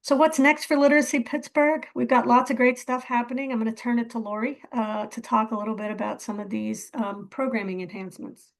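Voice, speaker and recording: Appreciative woman presenter with creaky voice. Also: fairly high pitch, fairly even intonation, a little fast, few pauses, rough recording. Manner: appreciative, thanking. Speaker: nonprofit chief executive presenting. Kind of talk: informal presentation to volunteers.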